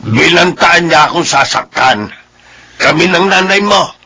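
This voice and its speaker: An actor playing a gruff, defiant elderly father in a Filipino radio drama, mocking and blustering. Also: fairly high pitch, expressive, a little slow, sharp tone, heavily acted. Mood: exasperated, indignant. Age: older.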